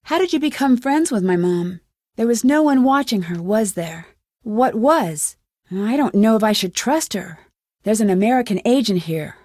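A young woman playing an inquisitive, earnest young female detective in a video game, speaking in a clear, measured way. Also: medium pitch, animated, darkish tone, no room sound, lightly acted. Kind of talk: scripted video game voice acting.

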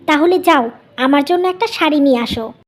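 A woman's voice: sits high, brisk, natural voice. A woman voicing a resentful, complaining young married woman in a cartoon story. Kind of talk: Bengali cartoon story voice acting.

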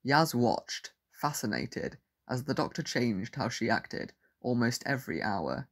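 A man's voice: medium pitch, sing-song, a little slow. A man narrating a scripted science fiction audio drama as its storyteller. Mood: measured storytelling.

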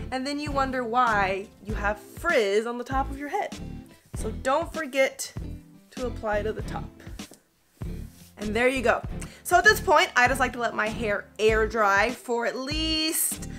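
Chatty woman beauty vlogger in her 30s, a touch high-voiced, faint music behind. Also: animated, normal speed. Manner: chatty, upbeat, coaching viewers. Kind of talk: hair tutorial talking to camera. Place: small indoor studio with grey backdrop.

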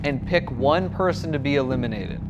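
Young man hosting with deadpan jabs. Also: mid-range voice, muffled recording, music in the background. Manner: deadpan joking, quick jabs. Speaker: show host. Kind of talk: teasing game-show hosting.

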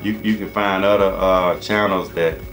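A man's voice: sits low, steady tone, normal speed, fairly bright tone, faint music behind, poor audio. An American man in his thirties giving casual, rambling advice to camera in an informal, repetitive way. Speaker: HVAC business coach and channel host. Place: small indoor office.

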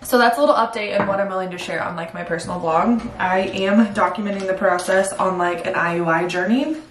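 A young woman chats candidly to a camera set back across a room, and her voice carries a roomy echo. She talks at an everyday middle pitch, rambling with fillers. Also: fairly quick, far from the mic, a little hiss or hum. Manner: chatty, candid, filler-heavy. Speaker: vlogger. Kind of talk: casual chat to camera. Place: home bathroom, indoors. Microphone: far camera.

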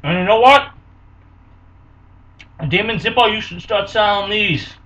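A man hosts a home cooking show in a small kitchen. His voice is high and bright, with hammy, energetic patter. The audio is dull and lo-fi, picked up a little away from the camera mic. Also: unhurried, recorded from a distance. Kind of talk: casual home cooking video. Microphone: camera mic close by.

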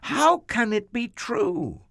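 An ancient storybook patriarch, wondering and overjoyed, as a man puts him on. His voice climbs high and wavers as he stumbles through numbers. The sound is dry and close, with no room. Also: very animated, takes it slowly, creaky, fairly bright tone, dry recording, full character voice. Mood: amazed. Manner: hesitant counting, wavering high exclamations. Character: very old male patriarch, wondering and joyful.

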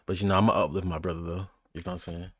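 A man's voice: low-pitched, level tone, rapid-fire. A young man giving casual, slangy reaction commentary as a streamer, often repeating himself for emphasis, on a close microphone indoors. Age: young adult. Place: small indoor room.

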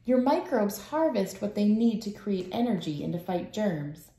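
In a noticeably echoey room at home, a woman in her thirties reads a picture book aloud. Her pitch is average for a woman, and her delivery is measured, like storytime for children. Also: lively intonation, mellow. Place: small room at home, indoors.

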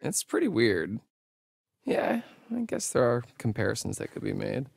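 An actor playing a sarcastic young American camp counselor in a horror video game, hesitant and conversational in delivery. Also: male voice, mid-range voice, wildly swooping pitch, moderate pace, vocal fry, breathy edge, no room sound, clean studio sound, heavily acted. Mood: dry, teasing. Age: young adult. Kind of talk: naturalistic horror game dialogue.

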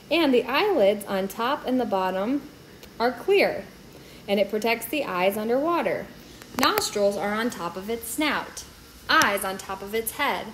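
Female read-aloud narrator for a kids' nature reader, fairly high voice, teacherly delivery. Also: slight background noise, rough recording. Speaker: children's book reader. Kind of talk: read-aloud of a children's nonfiction book.

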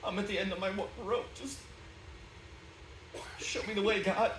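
Male actor as broken husband and father, halting, pleading, bright voice, echoey hall with faint noise, radio play. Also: high voice, steady tone. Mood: despairing prayer. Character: desperate, broken family man begging for help. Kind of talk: live staged 1940s radio play. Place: theatre stage, recorded from the audience.

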